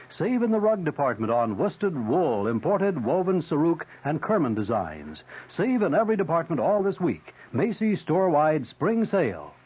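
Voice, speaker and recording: Guy doing old radio store ad, higher voice, really lively, muffled recording. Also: breathy edge. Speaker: advertising announcer. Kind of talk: 1970s radio commercial read.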